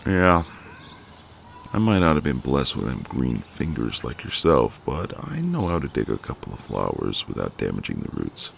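A man playing a very deep, gravelly flirty neighbour. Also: wildly swooping pitch, moderate pace, slight background noise, heavily acted. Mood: teasing, cocky. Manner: teasing, drawling, intimate, folksy. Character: flirty, cocky, gentle ex-marine neighbour, adult man. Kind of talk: ASMR romantic roleplay.

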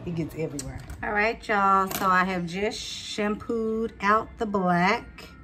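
A woman's voice: fairly high pitch, a little hiss or hum. Chatty woman vlogging in her car. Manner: chatty, animated storytelling, addresses viewers as girl. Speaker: hairstylist vlogger. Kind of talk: casual vlog chat to camera. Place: salon at night, then parked car at night. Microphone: phone camera held close.

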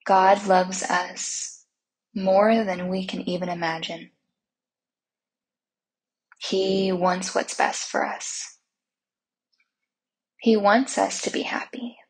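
Very breathy, slow woman guiding meditation. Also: mid-range voice, steady tone, low-quality recording. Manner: soothing, unhurried, gentle instruction with long pauses. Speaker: guided meditation host.

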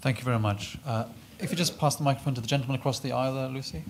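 A man chairing a policy forum, briskly and politely thanking speakers and calling on the audience. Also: medium pitch, noisy background. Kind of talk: moderating a forum.

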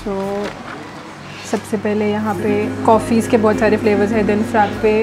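A woman's voice: medium pitch, monotone, moderate pace. A woman, chatting casually as a food vlogger and switching between two languages. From India. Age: 20s. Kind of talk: casual vlog review chat, mixing Hindi and English. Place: busy cafe dining room. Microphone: clip-on lapel mic. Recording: background music under voice. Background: music.

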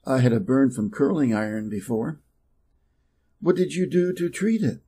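Husky American man reading English drills. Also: expressive, moderate pace, dark tone. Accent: American Midwest. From Wisconsin, USA. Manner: reads both dialogue parts, raising his pitch for speaker A. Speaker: English teacher. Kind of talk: slow reading of practice dialogues.

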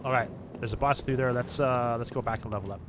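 A man's voice: medium pitch, steady tone, fast, noisy background. A man in his thirties giving casual commentary while streaming a game, chatting with viewers through a headset at home. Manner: chatty, reacting to the game and to chat.

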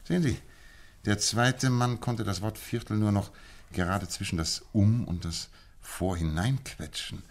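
A man's voice: on the low side, a little slow. A man playing a confident, methodical detective in a German radio play, lecturing precisely on his deductions. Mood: confident, instructive. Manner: lecturing, precise, self-assured.

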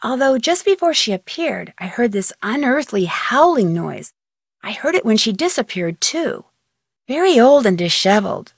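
Casting: inquisitive young female amateur detective, game phone dialogue, higher-pitched voice, conversational and plucky, dry studio sound. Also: big pitch swings, normal speed, dry recording. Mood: concerned, puzzled.

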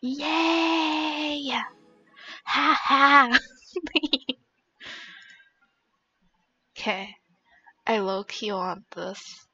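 A woman's voice: high voice, extremely slow, pauses often, sharp tone, no room sound. A young woman live-streaming a game in casual, reactive chat, swearing casually, on slightly dull lo-fi audio.

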